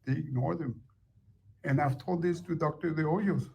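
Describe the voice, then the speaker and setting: A man's voice: fairly high pitch, normal speed, gravelly, darkish tone. A man, a former school teacher and parent, arguing his point insistently and repeating himself for emphasis. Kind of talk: heated confrontation.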